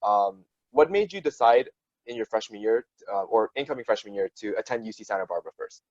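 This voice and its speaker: A man's voice: lowish voice, lively intonation, brisk, a little mellow, dry recording. A man in his twenties hosting a casual interview over a video call on earphones, with dull lo-fi sound. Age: 20s. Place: small room, indoors. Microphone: wired earphones on video call.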